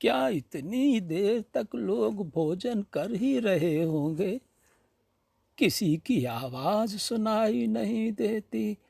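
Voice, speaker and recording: A man's voice: high-pitched, animated, very slow, creaky, mellow, slightly rough, no echo, pitched above the performer's own voice, exaggerated voice. A man voicing a frail old village woman, hungry and brooding, muttering plaintively to herself in a storybook reading. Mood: anxious waiting.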